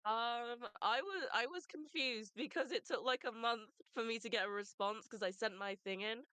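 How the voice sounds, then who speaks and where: A woman's voice: a little high, normal speed, bright, forceful tone, clear, resonant tone, no echo. A woman voice actor on a video call, giggly and telling a scattered story. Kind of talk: casual guest talk.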